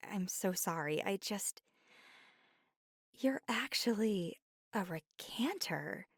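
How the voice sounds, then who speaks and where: A woman's voice: on the high side, husky, no room sound, clean studio sound, heavily acted. A young woman playing a friendly, easily flustered college bookshop clerk in a chatty ASMR roleplay. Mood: flustered, awed. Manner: chatty, stammering when flustered. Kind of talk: ASMR roleplay, scripted one-sided conversation.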